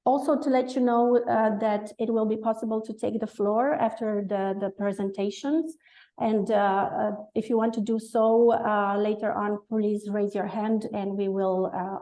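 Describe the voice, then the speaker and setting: A woman's voice: medium pitch, mellow, low-quality recording. A woman moderating an online webinar over a video call, giving housekeeping notes and speaker introductions with frequent filler sounds. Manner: quick, with many "uh" and "um" fillers.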